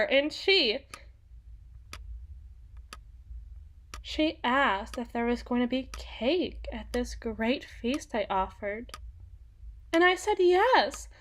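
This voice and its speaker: Slow-talking, sadistic demoness, acted by a woman. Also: high voice, fairly bright tone, exaggerated voice. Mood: gleefully cruel. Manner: theatrical, taunting, deliberate phrasing. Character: sadistic, teasing female demon bargaining for souls. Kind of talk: ASMR roleplay monologue.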